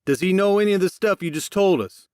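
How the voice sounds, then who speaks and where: A hot-tempered male hunter, played by a male narrator, barks his demands in a raised, strained pitch. He is forceful and confrontational, an audiobook character always spoiling for a fight, in a dry, close sound. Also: fast, smooth, no echo, close to an everyday voice. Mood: furious, urgent.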